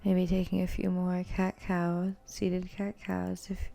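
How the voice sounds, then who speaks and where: Slow, low, gravelly-edged woman guiding yoga. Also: faint music behind. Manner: calm, soothing, gently cueing. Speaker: yoga instructor. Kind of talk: guided yoga and meditation instruction.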